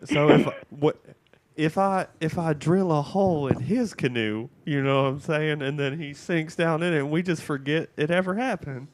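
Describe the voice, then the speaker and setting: A man's voice: a little high, moderate pace, slight background noise, close to an everyday voice, rough recording. A man voicing a fed-up wife who is casually and sweetly morbid, on an improvised prank call. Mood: deadpan scheming.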